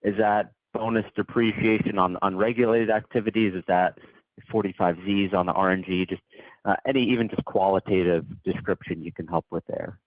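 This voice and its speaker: A man's voice: sits low, steady tone, a little fast, dry recording. A man calling in as a financial analyst, putting polite, informal questions to executives on a conference call. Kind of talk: spoken investor questions. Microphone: conference phone call. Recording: dry, narrow phone line.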